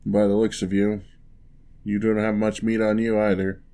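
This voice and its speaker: Gentle giant acted by a man, low even voice, soft-spoken, courteous, wry, teasing, ASMR fantasy roleplay. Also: lightly acted. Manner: unhurried, soft-spoken, old-fashioned courteous phrasing.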